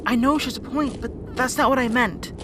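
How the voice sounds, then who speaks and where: Woman playing a high-voiced defiant young rat princess. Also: normal speed, background noise, close to an everyday voice. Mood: defensive. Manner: earnest, defiant. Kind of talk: audio drama acting.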